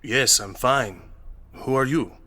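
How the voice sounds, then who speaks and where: Man playing an uneasy, husky-voiced farm worker. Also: mid-range voice, takes it slowly, pushed and bright. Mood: nervous. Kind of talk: audio horror drama acting.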